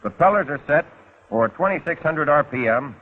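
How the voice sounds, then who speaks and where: A man's voice: fairly high pitch, expressive, moderate pace. An American man narrating a 1940s instructional film in a scripted, instructional way with folksy, wry touches. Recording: muffled, phone-like 1940s film soundtrack.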